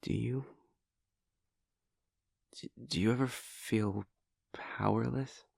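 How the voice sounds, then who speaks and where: A man acts out an anxious young male hunter for an anime roleplay. He speaks slowly with creaky edges and keeps stammering apologetically. His pitch wanders up and down, in a close, dry recording. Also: steady tone, a little mellow, dry recording, full character voice. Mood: troubled, apologetic. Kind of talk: anime roleplay audio drama.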